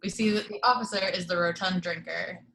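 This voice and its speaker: A woman's voice: fairly low pitch, fairly even intonation, fairly quick, vocal fry, bright, forceful tone, rough recording. A woman reading screenplay action descriptions as the narrator of an amateur table read.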